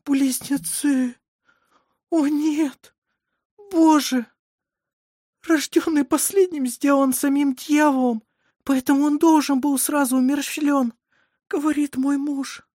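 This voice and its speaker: Woman acting a tearful, high-pitched peasant mother. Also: dark tone, dry recording, clean studio sound. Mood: horrified. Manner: tearful, slow, drawn-out pleading. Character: frightened, exhausted peasant mother in childbirth.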